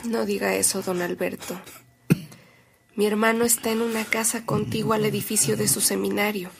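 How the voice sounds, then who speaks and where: A woman's voice: mid-range voice, little pitch movement, moderate pace, vocal fry, background noise, natural voice. An actress with a Mexican accent playing a courteous, caring woman who speaks politely and hospitably in a radio drama. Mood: gentle, hospitable. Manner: polite, soft-mannered, hospitable. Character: Lola, courteous, caring sister of a bishop. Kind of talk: Spanish-language radio drama acting.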